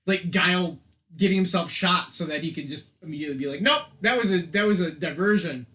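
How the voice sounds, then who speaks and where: Podcast co-host, male, 30s. Slightly high register, loose rambling delivery, joking and opinionated. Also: a little fast, bright, forceful tone. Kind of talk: casual opinionated film chat.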